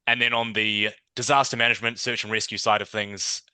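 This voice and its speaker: Man, New Zealand accent, podcast guest, crisp bright tone, no room echo, reflective chatty delivery. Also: lowish voice, level tone, a little fast. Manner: thoughtful, conversational, frequent fillers and restarts. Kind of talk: podcast interview.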